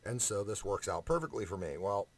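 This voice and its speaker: A man's voice: fairly low pitch, very animated, a little fast. A man ranting casually in a vlog, blunt, emphatic and sweary, speaking right up close to a condenser microphone. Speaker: amateur singer giving audition advice. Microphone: condenser microphone up close, no preamp.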